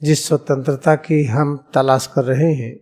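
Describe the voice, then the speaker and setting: A man with an Indian accent leading a guided meditation as a yoga guru, speaking in solemn, deliberate, measured phrases with pauses. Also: mid-range voice, fairly even intonation, mellow.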